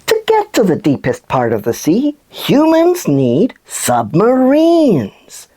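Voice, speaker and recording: A woman voicing an excitable, playful puppet news presenter on a children's show, with a theatrical, expressive delivery. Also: medium pitch, takes it slowly, exaggerated voice. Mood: upbeat, explanatory.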